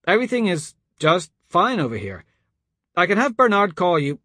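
A man voicing an urgent, anxious young man, raised pitch. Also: big pitch swings, sharp tone, dry recording, studio quality, heavily acted. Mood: nervous, defensive. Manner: pressing, hurried instructions. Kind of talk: audiobook character dialogue.

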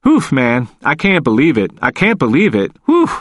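A man voicing a cheerful, teasing male prisoner with a slow, drawn-out country way of talking. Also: mid-range voice, wildly swooping pitch, no room sound, clean studio sound. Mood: relieved. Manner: slow, warm, joking, drawn-out phrasing.

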